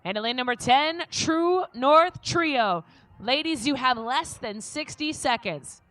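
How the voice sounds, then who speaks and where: A woman announcing a live lifting competition, briskly calling out lanes and teams on a stage mic. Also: sits high, expressive, a bit bright, no room sound. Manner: brisk, reads out lane lists. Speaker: event announcer. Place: competition stage at a fitness event.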